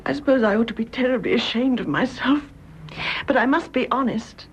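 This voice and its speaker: An actress playing an anguished young English widow in a radio drama, speaking in a halting, fervent way. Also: female voice, a little high, a little hiss or hum, natural voice, low-quality recording. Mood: ashamed, frank. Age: young adult. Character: anguished young adulterous widow, honest and emotional. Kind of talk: British radio drama acting.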